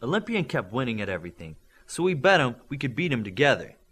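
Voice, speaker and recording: A man's voice: mid-range voice, big pitch swings, fast talker, a bit bright, slightly rough, exaggerated voice. A man reading an audiobook, voicing a sulky young man who lost a bet.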